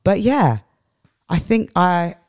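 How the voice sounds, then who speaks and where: A woman's voice: fairly low pitch, very animated, takes it slowly, darkish tone, dry recording, clean studio sound. A middle-aged British woman with a strong voice, telling personal stories as a podcast guest and repeating phrases for weight. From England. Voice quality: strong, powerful voice. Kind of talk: reflective personal storytelling in an interview.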